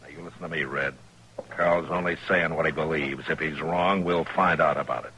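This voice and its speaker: An actor playing a weary, firm American frontier marshal with a gruff voice in an old-time radio western. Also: male voice, very deep voice, steady tone, moderate pace, pushed and bright, heavily acted. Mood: stern, commanding. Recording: noisy old radio recording.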